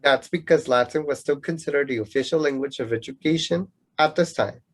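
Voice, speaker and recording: A man's voice: on the high side, a little fast, close to an everyday voice. A man playing a competitive young student in a radio play, explaining things to show off his knowledge. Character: competitive know-it-all young male student rival in love.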